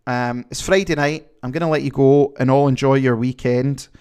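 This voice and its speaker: A man's voice: mid-range voice, steady tone, moderate pace, a little mellow, clean tone. A man with a Glaswegian accent hosting a livestream, chatting casually and reading viewer comments, rambling with many fillers. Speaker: livestream host.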